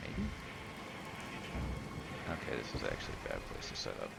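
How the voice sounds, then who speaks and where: A man giving unscripted commentary on his own game, thinking aloud in a halting, self-deprecating way with many uhs and ums. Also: a bass voice, very animated, vocal fry, very noisy. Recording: loud game music and battle sounds behind. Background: game music, artillery fire, vehicles.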